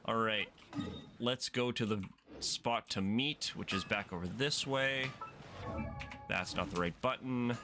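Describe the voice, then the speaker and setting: A man's voice: on the low side, unhurried, long pauses. A man giving casual live gameplay commentary as a streamer, with offhand, joking asides. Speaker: game streamer. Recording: game music behind the voice.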